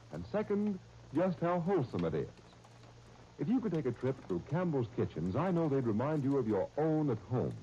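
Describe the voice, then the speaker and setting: An American man works as a 1930s radio announcer. His tone is mellow and his pitch very lively, with a polished, persuasive sales manner. The old recording sounds muffled. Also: a little hiss or hum.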